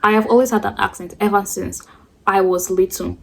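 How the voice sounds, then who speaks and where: A woman's voice: mid-range voice, fairly even intonation, brisk, creaky. Rambling, chatty teenage girl vlogger. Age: late teens. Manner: rambling, chatty, self-described yapping. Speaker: beauty vlogger. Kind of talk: get-ready-with-me chat while doing makeup.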